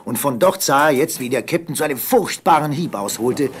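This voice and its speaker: A man with a husky voice giving scripted narration for an audio drama. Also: medium pitch, lively intonation, fairly quick, slight background noise.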